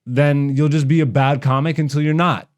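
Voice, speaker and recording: A man's voice: on the high side, steady tone, moderate pace, darkish tone. A man from Canada, a stand-up comedian hosting a podcast, talks in a casual, blunt, slangy rant full of swearing. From raised in Canada.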